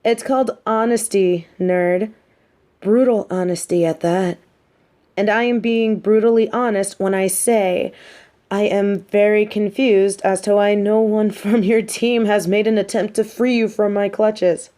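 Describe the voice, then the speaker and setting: A woman's voice: mid-range voice, steady tone, measured pace, warm tone, lightly acted. A woman playing a smug, mean but sympathetic supervillain captor in an audio roleplay, talking in a taunting, theatrical, conversational way. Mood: indignant, firm. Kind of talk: roleplay audio drama monologue.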